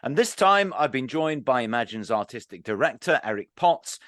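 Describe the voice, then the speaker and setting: A man's voice: medium pitch, a bit bright, no room sound, studio quality. A man, speaking as a podcast host introducing and interviewing guests. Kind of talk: scripted introduction and interview questions.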